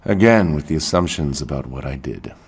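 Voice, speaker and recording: A cool suburban crime suspect in an audio drama. His voice sits extremely low and has a husky grain. He taunts and evades in a measured way, giving nothing away. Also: lively intonation, full character voice. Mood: taunting, coolly evasive. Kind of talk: audio fiction acting.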